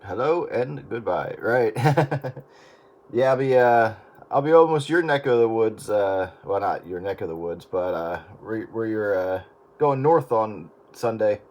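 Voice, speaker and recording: A man's voice: fairly low pitch, lively intonation, measured pace, a little mellow. A man who operates a radio, chatting casually and informally in loose phrases over the air. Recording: two-way radio with faint noise.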